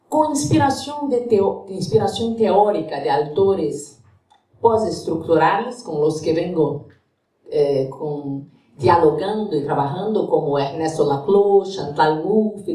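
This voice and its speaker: A woman's voice: lowish voice, mellow, recorded from a distance. Brazilian woman lecturing in an echoey hall. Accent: Brazilian Portuguese. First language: Portuguese. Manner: careful, searching for words in a second language. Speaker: guest lecturer. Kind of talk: academic lecture, partly read aloud.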